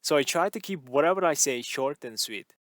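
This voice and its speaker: Calm man reading a novel aloud. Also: fairly high pitch, brisk, dry recording, studio quality. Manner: calm, even first-person narration. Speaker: audiobook reader.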